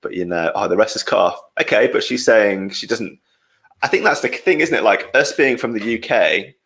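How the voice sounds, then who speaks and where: Young English male podcast host, low gravelly voice, easygoing and chatty. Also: animated, moderate pace, a bit bright. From England, Midlands. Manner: chatty, reads out comments, laughs often. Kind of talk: casual podcast chat.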